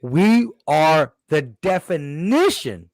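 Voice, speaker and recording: A man's voice: fairly high pitch, very animated, slow pace, dry recording, studio quality. A man hosting a postgame sports talk show, emphatic and insistent, nearly losing his voice. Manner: emphatic, repeats "listen". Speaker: sports podcast host.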